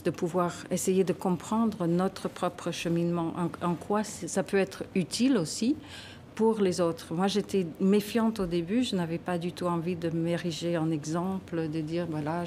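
A woman in her sixties, originally from Canada, speaks in a fairly low voice, thoughtful and emphatic as she answers questions. She is at a desk microphone in a TV studio, with a faint hum of ambience behind her. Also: steady tone, a little slow, dark tone. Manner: thoughtful, emphatic, gesturing while talking. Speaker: guest author. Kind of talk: TV studio interview. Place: TV news studio.

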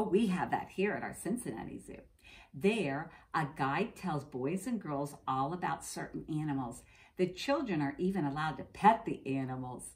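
An American woman with a low voice reads a picture book to preschoolers. Her intonation rises and falls in a lively way. She breaks from the text to explain things simply, as a teacher talking to small children. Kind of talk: reading a picture book aloud to young children, with explanatory asides. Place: indoors, classroom.

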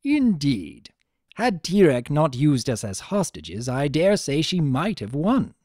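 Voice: medium pitch, sing-song, slow, a little mellow, no echo, studio quality. A narrator voicing a theatrical, contrite male chaos creature with a formal, courtly manner.

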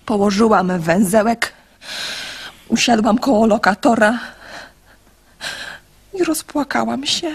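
A woman's voice: fairly high pitch, slow, pauses often, breathy edge, exaggerated voice. A woman playing a young lovesick girl in a radio drama, anxious and wistful, confiding her story with emotion. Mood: anguished.

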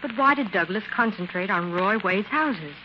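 Woman playing inquisitive female sleuth's assistant, medium pitch, questioning, dull vintage radio sound. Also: lively intonation, normal speed, natural voice. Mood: curious, keen. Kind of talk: 1940s radio acting. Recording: dull, hissy 1940s radio recording.

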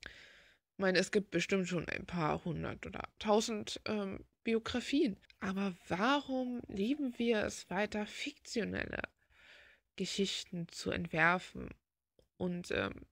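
A woman's voice: medium pitch, slow, gravelly, no echo, clean studio sound. A woman in a casual, rambling monologue, reflective and full of rhetorical questions. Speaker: video creator talking while drawing.

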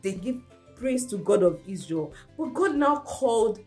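A woman's voice: a little high, a little slow, soft background music. A young woman teaching a children's church class, retelling a Bible story to small children with lots of repetition and questions. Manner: animated, repeats key phrases for kids. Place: indoor room at home.

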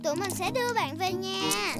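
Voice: very high voice, lively intonation, normal speed, gravelly, full character voice. A performer voicing a cheerful, curious little girl pig in a cartoon dub, childlike and polite. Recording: cartoon music behind the voice.

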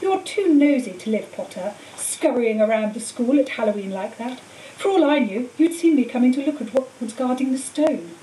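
A woman voicing a cold, treacherous male wizard teacher in an audiobook, speaking in a calm, menacing, matter-of-fact way. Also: a little high, moderate pace, natural voice. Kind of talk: audiobook character voice. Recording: roomy echo, distant mic, faint hiss.

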